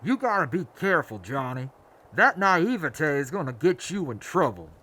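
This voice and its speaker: A man's voice: fairly high pitch, big pitch swings, normal speed, pushed and bright, full character voice. A man playing a decisive, cautioning leader of a travelling crew in a post-apocalyptic audio drama. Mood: alert, measured.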